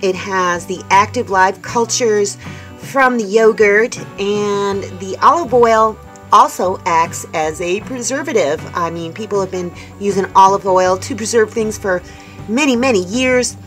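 Upbeat homesteading woman, casual chatty delivery to viewers, average pitch, light music bed. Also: measured pace, a bit bright. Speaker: homestead vlogger. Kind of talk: casual cooking demonstration. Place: indoors in a small tiny-house kitchen.

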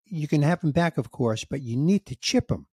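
A man in his sixties hosting a podcast, telling a story in a casual, animated way and acting out the dialogue, with some emotion. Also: on the high side, normal speed, husky, dry recording, clean studio sound. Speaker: podcast host, comedian. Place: home room with record shelves. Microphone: studio mic on boom, headphones, video call.

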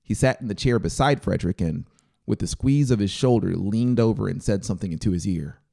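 A man in his thirties narrates dramatic fiction in a measured, expressive way, close to the mic. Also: on the low side, creaky. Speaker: audiobook narrator. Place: small brick-walled home studio. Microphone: close handheld-style mic on boom stand. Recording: music playing behind the voice. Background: music.

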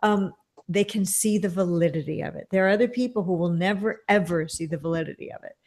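A woman's voice: lowish voice, fast talker, darkish tone. A middle-aged woman hosting a casual video call chat from a home music room on a studio microphone, upbeat and affirming. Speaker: podcast host.

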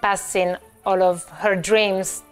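A woman's voice: mid-range voice, little pitch movement, slow pace, soft background music. Playful woman with Italian accent. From Italy. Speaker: actor. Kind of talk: press interview.

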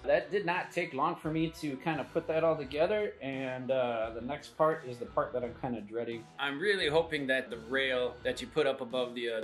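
A man's voice: mid-range voice, fairly bright tone. A man in his forties chatting casually to camera in a laid-back, folksy way as he hosts a do-it-yourself vlog. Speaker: host of a DIY review vlog. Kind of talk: casual how-to chat to camera. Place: indoors at home: garage, bar room and bedroom.

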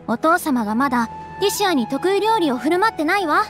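A woman voicing a gentle, caring young noblewoman who speaks softly and politely in a game cutscene. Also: very high-pitched, very animated, brisk, music in the background. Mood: earnest. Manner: soft, polite, refined. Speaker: game character.

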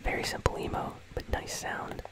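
A host whispering casual, conversational ASMR commentary on a video game in a breathy, unvoiced voice, close to the microphone. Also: normal speed. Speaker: host of a gaming news channel.

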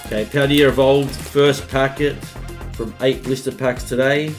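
A man in his thirties, his voice slightly husky after a short illness, talks at an ordinary pitch in an upbeat, chatty style. He speaks into a desk mic in a room at home, and faint music plays underneath. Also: level tone, a little slow. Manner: upbeat, hype-building, chatty. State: slightly husky from a recent bug. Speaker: card-opening YouTuber host. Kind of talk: casual pack-opening vlog. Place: home room with colored lights. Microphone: studio mic on a desk arm.

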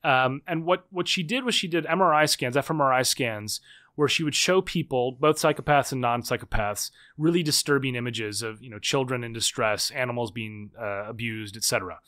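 A man in his thirties, a podcast guest and author, explaining at length in quick conversational speech with frequent restarts and repeated words. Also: medium pitch, bright, forceful tone. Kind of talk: conversational interview.

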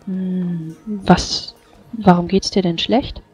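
A woman playing a tabletop game, improvising in character in a gentle, coaxing, hesitant way. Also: fairly low pitch, steady tone, takes it slowly, husky. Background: faint music.

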